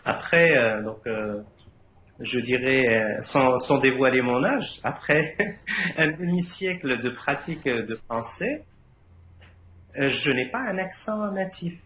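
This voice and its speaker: Older male academic, Arabic native, halting delivery full of fillers, recorded in a reverberant room with light background noise. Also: medium pitch, lively intonation, a bit bright. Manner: hesitant, many fillers and restarts. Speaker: thesis jury member. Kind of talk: academic thesis feedback. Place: university defense room.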